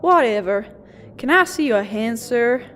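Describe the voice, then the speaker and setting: A woman's voice: fairly high pitch, lively intonation, unhurried, fairly bright tone, slight background noise. A woman voicing a kindly but unimpressed receptionist in a comedic fantasy audio drama, dry at times and cooing at others.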